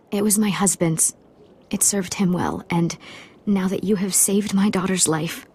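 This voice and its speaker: Soft-spoken, worried widowed mother. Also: mid-range voice, husky. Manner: soft, polite, hesitant. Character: worried widowed mother, reserved but grateful. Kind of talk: dramatic video game acting.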